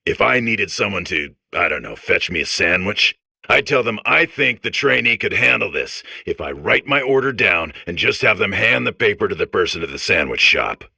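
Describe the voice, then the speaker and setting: A man's voice: lowish voice, lively intonation, moderate pace, vocal fry, sharp tone, breathy edge, dry recording, heavily acted. A man playing an overeager, chummy robot core in a comedic video game, rambling earnestly with backhanded condescension. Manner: rambling, casual, insistently earnest.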